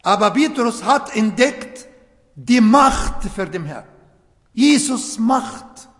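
A man preaching a sermon in German, which is not his first language, slowly and emphatically, with small grammar slips. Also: very high-pitched, sing-song, a bit bright. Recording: long hall reverb.

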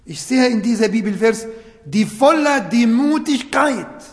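A man with a fairly high voice preaches slowly and emphatically in a language that is not his first, stumbling over small points of grammar. His words ring out with a long reverb, as if he stands in a large church hall. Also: expressive. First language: not German. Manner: non-native German with grammar slips, slow emphatic preaching. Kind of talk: sermon.

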